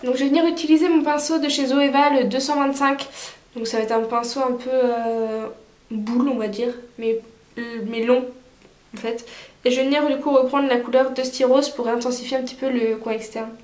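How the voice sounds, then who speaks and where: A woman's voice: high voice, steady tone, moderate pace, darkish tone, roomy sound. A woman vlogger with a cold, chatting casually through a makeup tutorial with many fillers. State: stuffy with a cold. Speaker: beauty vlogger.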